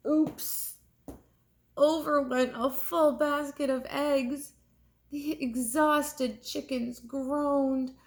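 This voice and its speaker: A woman reading a storybook aloud like a teacher, expressive and deliberate for young listeners. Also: high voice.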